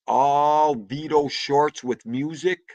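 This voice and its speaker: A man's voice: on the high side, slow, a little mellow, no echo. A man hosting a casual livestream chat, greeting viewers and laughing often. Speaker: livestream host.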